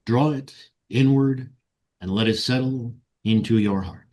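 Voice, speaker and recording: A man leading a guided meditation and ceremonial invocation in solemn, deliberate short phrases. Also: mid-range voice, mellow. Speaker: meditation guide and ritual leader.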